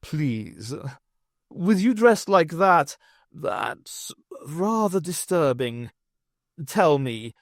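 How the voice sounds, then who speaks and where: A man's voice: a little high, very animated, very slow, no echo, studio quality, voice pushed up, full character voice. A man voicing an anxious, baffled male cat companion who speaks in a formal, old-fashioned way. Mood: uneasy, perplexed.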